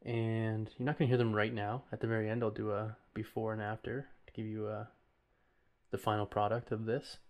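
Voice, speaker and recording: A man's voice: lowish voice, little pitch movement, fairly quick. A man hosting an informal audio tutorial, talking through each step in a casual, somewhat hesitant way. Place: voice-over recorded while working at a computer.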